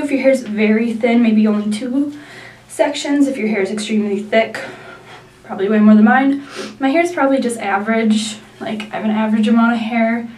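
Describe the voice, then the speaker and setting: A woman's voice: on the high side, a little mellow, recorded from a distance. A young woman with a nasal voice from a sinus infection, chatting through a hair tutorial with many likes and ums. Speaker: beauty vlogger. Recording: echoey room, mic set back.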